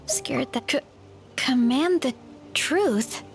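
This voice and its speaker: A woman voicing a gentle, hesitant young heroine who admits her fears and gathers her courage. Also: high voice, slow pace, creaky, faint music behind, exaggerated voice. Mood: timid.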